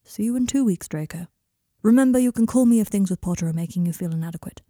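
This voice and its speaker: A patient male therapist read by a woman in an audiobook scene. He is mild, measured and reassuring, with a mellow tone and a close, dry sound. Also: mid-range voice, no echo, lightly acted. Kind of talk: audiobook character dialogue.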